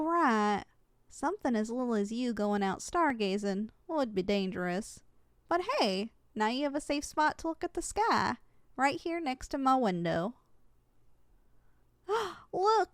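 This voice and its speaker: A young woman playing a kind, caring giantess in an ASMR roleplay, speaking in a gentle, affectionate, conversational way. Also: sits high, dry recording, clean studio sound, heavily acted. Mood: playful wonder. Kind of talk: ASMR roleplay, intimate character acting.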